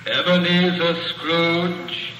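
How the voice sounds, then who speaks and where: A man's voice: a little high, little pitch movement, very slow, bright, forceful tone, slight background noise, exaggerated voice. An actor playing the solemn ghost of a dead businessman, intoning declamatory lines through ghostly reverb in 1930s radio drama style. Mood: ominous. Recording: cavernous reverb on old radio recording.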